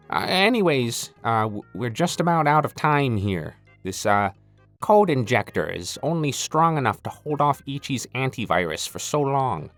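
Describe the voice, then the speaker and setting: A man's voice: lowish voice, a bit bright. A man playing a cocky, goofy intruder who has hijacked an audio show, stammering and rambling irreverently as he addresses the listener. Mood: shifty, smug. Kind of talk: comedic monologue addressed to the listener.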